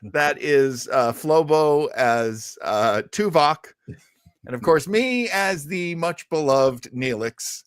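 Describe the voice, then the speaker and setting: A man's voice: on the high side, lively intonation, takes it slowly, clean tone, no echo, clean studio sound. A man hosting a casual panel chat on a podcast. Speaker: podcast host.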